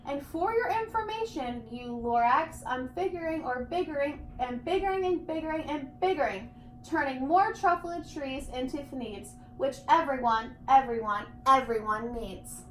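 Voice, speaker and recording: Storybook businessman, read by a woman: greedy, irritable, defiant bluster, high-pitched, echoey room. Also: normal speed, a bit bright. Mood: angry, defiant. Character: defiant, greedy, irritable male factory tycoon. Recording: echoey room, clear background noise.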